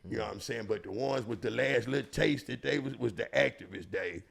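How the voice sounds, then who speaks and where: A man with a heavy gravel and fry in his voice speaks in a Houston, Texas accent. He tells stories casually, in slangy, profane, emphatic street talk that circles back on phrases. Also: medium pitch, a little fast, fairly bright tone. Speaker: storyteller and show regular.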